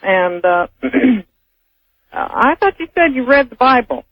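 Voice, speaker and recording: An American woman speaks as a radio caller, halting and argumentative. Her voice comes dry over a dull telephone line, as heard on AM radio. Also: sits high, very animated, no echo. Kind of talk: unscripted opinionated phone talk.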